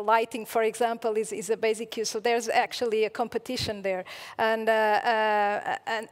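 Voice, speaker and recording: Woman, middle-aged, higher monotone voice, hesitant panelist, reverberant stage. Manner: hesitant, many fillers. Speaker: panelist, energy expert. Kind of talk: panel discussion answer. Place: conference stage, indoors.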